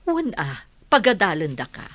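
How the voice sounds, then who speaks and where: A woman's voice: lowish voice, wildly swooping pitch, normal speed, fairly bright tone, exaggerated voice. A woman playing a concerned middle-aged mother in a radio drama, coaxing and earnest. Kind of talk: Ilocano radio drama acting.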